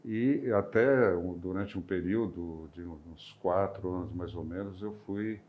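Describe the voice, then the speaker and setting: Older male retired doctor, deep low voice, interior São Paulo accent, halting reflective delivery, slightly muffled audio. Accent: rural São Paulo interior, near Minas Gerais. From interior of São Paulo state, Brazil. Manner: hesitant, with frequent restarts and filler sounds. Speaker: retired physician. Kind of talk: reflective personal recollection.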